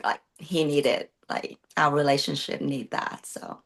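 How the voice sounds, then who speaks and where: A woman in her thirties with a Vietnamese accent, a coach and competitor speaking earnestly in a casual interview, often pausing with fillers and restarting sentences. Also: low-pitched, flat delivery. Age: 30s. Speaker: guest, bodybuilding competitor and coach.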